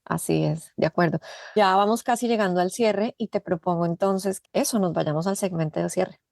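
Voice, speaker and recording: Gravelly-voiced woman hosting an interview. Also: lowish voice, normal speed, darkish tone, no room sound, clean studio sound. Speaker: podcast host.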